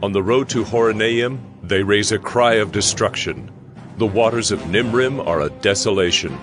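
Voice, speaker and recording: A man's voice: lowish voice, moderate pace, music in the background. A man narrating scripture dramatically, with solemn, emphatic, declamatory delivery.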